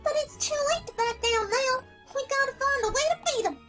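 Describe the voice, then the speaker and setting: A woman's voice: very high voice, a little fast, a bit bright. A woman voicing a feisty, indignant little country filly, in a fan fiction reading. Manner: folksy, exasperated. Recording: prominent music behind the voice.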